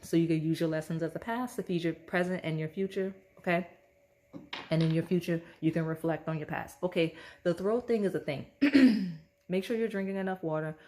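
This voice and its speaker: A woman talks through a pick-a-card tarot reading in a loose, chatty way and keeps checking in with "okay?" Her voice sits a little low. It is hoarse and has a slight rasp, as if she is losing it. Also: fairly quick, darkish tone. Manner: chatty, repeats "okay?" often. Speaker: tarot reader.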